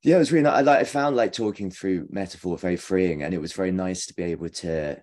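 A man in his forties reflecting personally in a halting way, repeating words as he goes. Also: low-pitched, a little mellow. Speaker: panelist. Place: kitchen, indoors. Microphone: video call, laptop.

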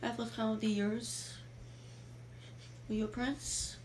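A woman's voice: fairly high pitch, little pitch movement, slow pace. A woman in an amateur fandub playing a frightened, sorrowful young princess trapped in a tower, plaintive and dramatic, on a noisy home recording. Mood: wistful.

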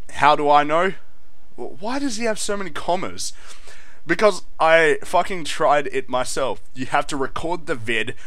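A teenage guy with a fairly high, bright, pushy voice, ranting sarcastically over the comments. He sounds exasperated and swears a lot. The recording is close and dry, with no room sound. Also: no echo. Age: teens. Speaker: YouTuber reading comments.

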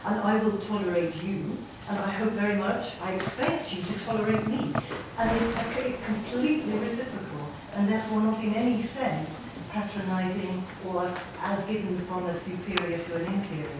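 A woman making an earnest comment from the audience at a conference, recorded at a distance. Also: mid-range voice. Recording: very echoey room, distant mic, loud noise.

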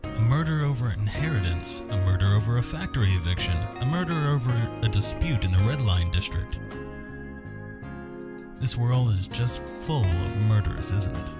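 A man hosting a gaming video, reading visual novel text aloud with asides and stumbling over some words and names. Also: mid-range voice. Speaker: gaming host. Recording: music under the voice. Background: game music with guitar.

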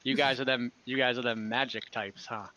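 A young man narrating as game master over a video call, relaxed and rambling with many fillers. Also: medium pitch, normal speed. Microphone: headset on a video call. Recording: dull lo-fi video call audio.

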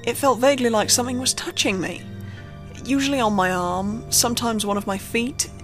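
A woman reading a scripted first-person horror story in a measured, suspenseful storytelling manner. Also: a little high, a bit bright. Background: background music under the narration.

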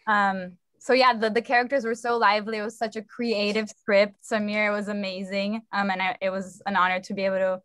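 A woman's voice: medium pitch, little pitch movement, a little fast, hardly any pauses, fairly bright tone, clear, resonant tone, no room sound, clean studio sound. A woman in her twenties, a director, talking casually and appreciatively on a video call. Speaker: play director. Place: indoor room on a video call. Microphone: computer video call.

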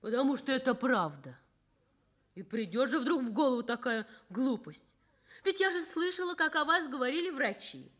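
An actress playing a warm, earnest young nurse in a Soviet radio drama, speaking with tender insistence. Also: female voice, high-pitched, big pitch swings, normal speed, heavily acted. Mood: earnest reassurance.

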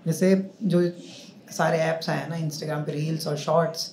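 A man's voice: a little high, flat delivery, unhurried, a little mellow. A young man performing a stand-up comedy set on a club stage, chatting and teasing the audience. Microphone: handheld mic on a stand. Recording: roomy live club sound with crowd noise. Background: audience laughter.

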